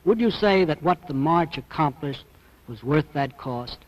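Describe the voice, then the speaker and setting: A man putting formal questions as a broadcast interviewer, in a measured and pointed manner. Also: a little high, expressive. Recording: dull archival broadcast sound.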